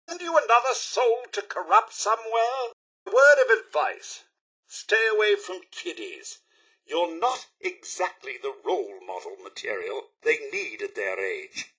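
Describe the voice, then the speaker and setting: A man with a British accent voicing a fussy, courteous robot butler in a video game, with a raspy edge and florid, droll delivery. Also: a little high, pushed and bright, exaggerated voice. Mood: snide, disdainful. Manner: pompous, florid, theatrically courteous. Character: polite, fussy male robot butler, droll and long-suffering. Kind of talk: video game character voice lines.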